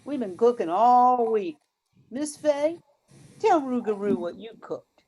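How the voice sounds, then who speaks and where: A motherly Cajun cook of the bayou, played by a woman in a radio play. She talks slowly in a fairly high voice, full of hospitality. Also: animated, mellow, a little hiss or hum. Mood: hospitable. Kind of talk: amateur radio play acting.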